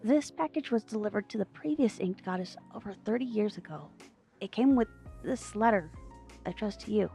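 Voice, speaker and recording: Creaky-voiced, knowing goddess played by a woman. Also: mid-range voice, lively intonation, normal speed, warm tone, soft background music. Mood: solemn, gentle. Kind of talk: audio drama acting.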